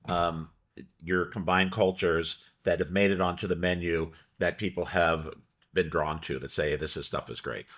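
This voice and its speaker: A man hosting a local food show, interviewing a guest in a relaxed, halting way with frequent restarts. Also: deep voice. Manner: halting, many restarts and fillers. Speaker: show host. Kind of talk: conversational interview.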